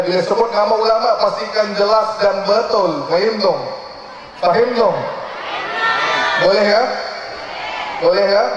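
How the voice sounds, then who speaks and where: Echoey, higher-pitched Malaysian male preacher. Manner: playful, teasing, repeats questions to the listeners. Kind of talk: live sermon with jokes and audience call-and-response. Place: live religious gathering at night, over loudspeakers with a crowd. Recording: echoey mosque PA, muffled, crowd noise.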